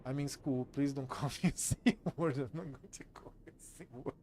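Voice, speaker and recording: A man's voice: mid-range voice, fairly even intonation, brisk, darkish tone, a little hiss or hum. A Brazilian man with a Brazilian Portuguese accent, casually commenting on a game he is playing and chatting with his stream viewers, reading the game text aloud under his breath and swearing freely. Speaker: gameplay streamer.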